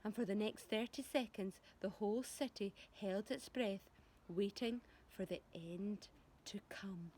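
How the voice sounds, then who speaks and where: A woman reading a storybook aloud to children as a teacher would, animated and expressive, speaking directly to them. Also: mid-range voice, a little slow. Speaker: primary school teacher reading to pupils.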